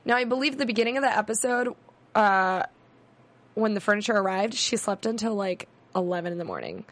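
A young woman hosting a casual recap show, a bit hoarse, talking quickly and chattily. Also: medium pitch, sing-song. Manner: chatty, quick asides, laughing. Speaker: host. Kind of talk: casual chat.

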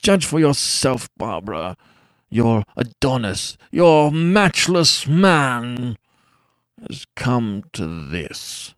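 A man's voice: medium pitch, very animated, extremely slow, smooth, no echo, studio quality, voice pushed up. A man voicing a disfigured, anguished young husband who speaks in a mournful, pleading way.

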